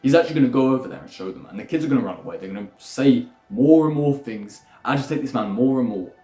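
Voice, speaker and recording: A man's voice: medium pitch, lively intonation, fast talker, mellow. A young man lecturing bluntly in a rambling self-improvement monologue, swearing freely. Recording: echoey room with faint music.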